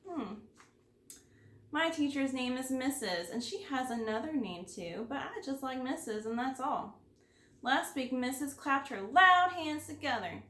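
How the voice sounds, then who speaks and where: Kindergarten teacher lady reading a kids story, expressive, highish voice, echoey room. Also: measured pace. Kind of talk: expressive children's story read-aloud.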